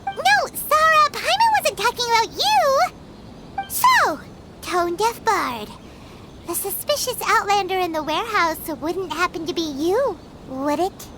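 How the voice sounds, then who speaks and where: A woman voicing a tiny, excitable and blunt fairy companion in a video game, with a squeaky childlike voice. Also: takes it slowly, noisy background, heavily acted. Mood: suspicious. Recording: loud music behind the voice.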